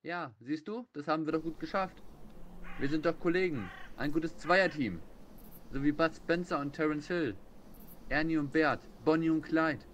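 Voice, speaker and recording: A man's voice: on the high side, moderate pace, close to an everyday voice. A man playing a chummy, joking police officer in a radio play. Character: male police officer, chummy, jokey colleague. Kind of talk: amateur radio play acting.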